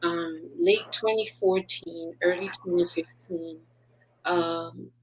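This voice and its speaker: A woman's voice: on the low side, steady tone, slow, breathy edge, poor audio. A woman from Haiti, a business founder, talking earnestly in a casual interview and laughing often.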